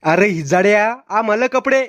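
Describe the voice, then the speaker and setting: A man voicing a grumpy, nosy older village uncle in a cartoon, with a pitched-up voice. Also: expressive, moderate pace, a bit bright, no echo.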